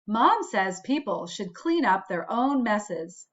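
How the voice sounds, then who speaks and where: A woman school principal reading a picture book aloud to children in an expressive, deliberate, teacherly way, with asides to her listeners. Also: medium pitch. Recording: dull, lo-fi recording.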